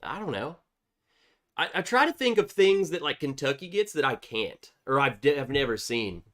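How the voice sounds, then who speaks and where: A man's voice: mid-range voice, sing-song, normal speed, fairly bright tone. A man hosting a casual livestream, reading viewer chats aloud in a chatty, upbeat way, sounding congested from a cold. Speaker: livestream host.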